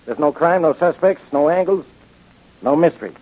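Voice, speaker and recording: Actor doing grumpy radio cop, husky highish voice, barks and sneers, muffled old recording. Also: male voice, normal speed, lightly acted. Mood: scornful. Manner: gruff, barking, sarcastic. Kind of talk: 1940s radio detective drama acting.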